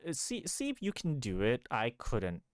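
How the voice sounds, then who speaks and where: A man's voice: mid-range voice, huge pitch swings, moderate pace, background noise. A man chatting casually as a game streamer, often repeating and rephrasing himself. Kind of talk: casual gaming chat.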